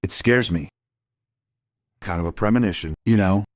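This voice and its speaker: A staid older gentleman, played by a male actor, a retired official with an uneasy air. His voice is very low and recorded dry, as dialogue in a crime radio play. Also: moderate pace, no echo, lightly acted. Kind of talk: crime audio drama dialogue.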